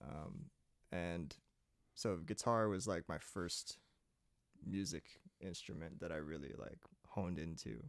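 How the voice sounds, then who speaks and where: A young man from Saipan, a singer-songwriter guest, telling his story in a relaxed, rambling way with many fillers. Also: low-pitched, slow pace, no room sound. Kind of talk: casual interview chat.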